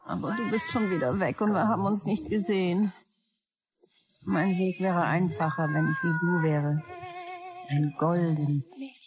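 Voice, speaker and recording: A woman's voice: fairly low pitch, fairly even intonation, measured pace, pauses often, a crowd in the background, close to an everyday voice. An actress playing a controlling, lovesick female tech-company executive in an audio drama, as if reading out her e-mails. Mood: longing, weary. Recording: music behind the voice. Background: music.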